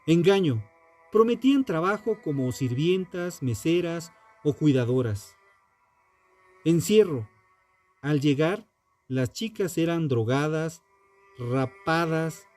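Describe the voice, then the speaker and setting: Man, higher-pitched voice, very slow pace, solemn dramatic narration, deliberate phrasing, music behind. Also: darkish tone. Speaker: true crime podcast narrator. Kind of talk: dramatic scripted true-crime narration. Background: background music.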